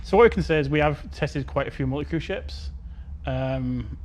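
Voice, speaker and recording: A man's voice: fairly high pitch, normal speed, a little mellow. A man working as a game developer, casually explaining decisions and often adding "you know". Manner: frequently adds "you know". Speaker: game developer answering questions.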